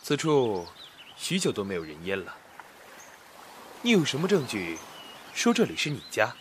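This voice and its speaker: An actor playing a sly, seductive fox prince in a costume audio drama, with a slow, lingering delivery. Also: male voice, mid-range voice, wildly swooping pitch, slight background noise. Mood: cool, guarded.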